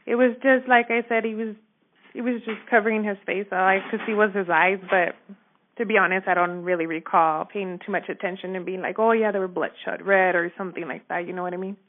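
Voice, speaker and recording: A woman's voice: mid-range voice, fairly even intonation, a little fast. Woman on a narrow phone line. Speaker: store manager giving a witness account. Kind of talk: casual recounting in a phone interview. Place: telephone call.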